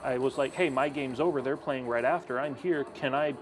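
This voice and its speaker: A man's voice: medium pitch, a little fast, music in the background. A young man who broadcasts hockey, chatting while gaming and then calling the action play-by-play.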